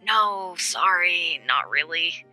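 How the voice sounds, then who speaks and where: Helpful fellow journalist, intensely bright voice, brisk, matter-of-fact, audio drama, soft music behind. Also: female voice, fairly low pitch, expressive, full character voice, poor audio. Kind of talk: audio drama acting, conversational.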